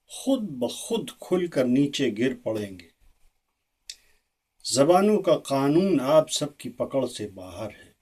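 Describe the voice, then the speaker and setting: An actor playing an earnest, disillusioned Indian statesman, delivering a theatrical political speech with deliberate, weighty pauses. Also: a little high, a little mellow. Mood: firm, persuasive. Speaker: actor performing a monologue. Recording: distant microphone.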